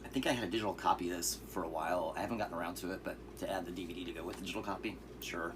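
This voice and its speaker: A hoarse man showing off his thrift-store movie finds in a relaxed, rambling way, with fillers and asides. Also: deep voice, rapid-fire, noisy background. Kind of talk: casual vlog.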